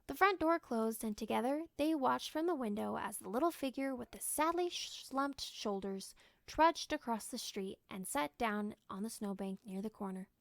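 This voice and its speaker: A woman narrates a storybook aloud with expression. Her voice sits fairly high and has noticeable creak, and her manner is gentle and deliberate. The sound is close and very dry. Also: expressive, no echo. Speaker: storybook reader.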